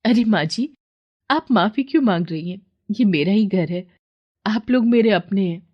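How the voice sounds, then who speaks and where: Dutiful young wife, played by a female narrator; humble, kind, slightly raised pitch, storybook delivery. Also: expressive, moderate pace, dull audio, close to an everyday voice. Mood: gentle, forgiving. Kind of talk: moral story dramatization.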